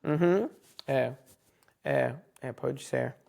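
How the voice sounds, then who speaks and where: Slow, gravelly, hesitant host. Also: medium pitch, a little mellow. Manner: slow and hesitant, many fillers, speaks Portuguese as a learner. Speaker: interviewer, host. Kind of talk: casual interview chat.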